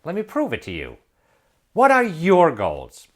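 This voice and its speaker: A man in his forties giving an emphatic motivational talk, asking rhetorical questions and building excitement. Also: on the high side, a little slow. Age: 40s. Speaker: self-help video presenter.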